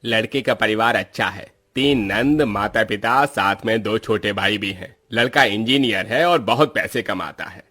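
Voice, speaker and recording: A man's voice: fairly low pitch, normal speed, hardly any pauses, bright, forceful tone. A man playing an obliging matchmaker priest who talks up grooms in a family story. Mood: agreeable. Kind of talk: Hindi family storybook acting.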